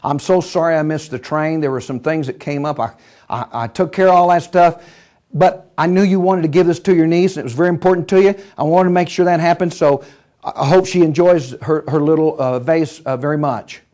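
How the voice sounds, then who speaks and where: A man's voice: on the high side, steady tone, darkish tone, natural voice. Man playing an earnest, pleading errand-runner. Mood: earnest, hurt. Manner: hurried, pleading, stammering at times.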